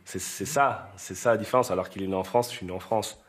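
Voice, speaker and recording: Deep-voiced man, quietly explaining. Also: quick pace, faint music behind. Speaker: interviewee. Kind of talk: interview testimony.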